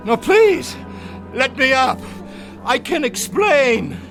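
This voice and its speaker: An actor playing an arrogant, unrepentant former Nazi camp captain in a radio drama, haughty, defensive and indignant. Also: male voice, extremely high pitch, big pitch swings, takes it slowly, gravelly, heavily acted. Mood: panicked pleading. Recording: music under the voice. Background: dramatic underscore music.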